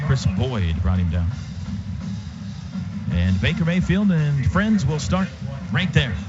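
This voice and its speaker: A man's voice: medium pitch, expressive, slow pace, loud music behind the voice. A man calling a live football game as a radio play-by-play announcer, in clipped, rhythmic phrases. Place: outdoor stadium radio booth. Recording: muffled radio feed, loud stadium noise.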